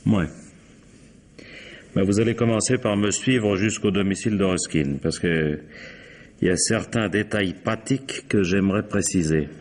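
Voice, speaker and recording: A sardonic male police inspector in a radio crime drama. His voice sits low, and he gives orders brusquely, with dry, authoritative irony. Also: moderate pace, a little mellow. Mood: firm, commanding.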